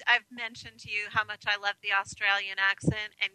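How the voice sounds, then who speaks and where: A woman's voice: medium pitch, flat delivery, fairly quick, sharp tone, smooth, dry recording, low-quality recording. A woman with an American accent giving friendly, reflective, conversational answers as a radio guest. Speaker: radio guest, life coach.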